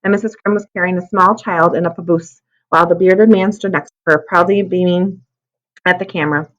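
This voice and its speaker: A woman narrating a children's book aloud as an expressive storyteller. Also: on the low side, fairly quick, lo-fi sound.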